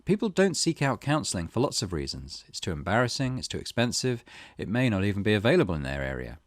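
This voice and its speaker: Guy doing a lets play read-through, lowish voice, really animated up and down, dry sound. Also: fast talker, no echo. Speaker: video game let's play host. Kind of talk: let's play reading aloud and commentary.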